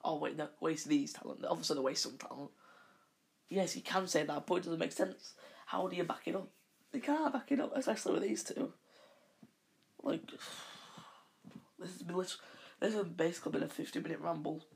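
This is a man with a croaky voice, gravelly and a little husky, talking on his own podcast. He drifts from point to point in a long casual monologue, backtracking and fixing what he just said. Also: fairly high pitch, moderate pace, pauses often. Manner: rambling, with frequent self-corrections. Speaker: podcast host.

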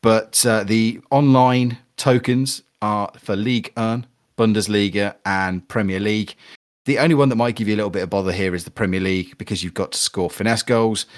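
Male podcast host, low-pitched, chatty and upbeat, relaxed delivery. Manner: chatty, upbeat, reads sponsor plugs. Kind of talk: casual podcast chat about a football video game.

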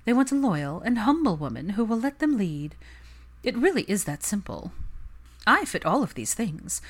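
A woman voicing a young, smug society gossip and husband-hunter in an audiobook, with an affected, bright, confiding manner. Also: medium pitch, very animated, normal speed, voice pushed up. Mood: smug, preening.

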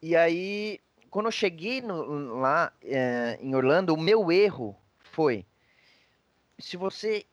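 A man's voice: a little high, lively intonation, slow, no room sound, dull audio. A man with a Brazilian accent telling personal anecdotes in a casual, slangy, rambling conversational way.

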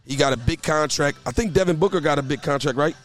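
A hoarse man hosting a casual talk show, often stammering and repeating his words as he speaks outdoors. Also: a little high, fast. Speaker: podcast host and youth basketball coach. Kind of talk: casual chat. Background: faint background music.

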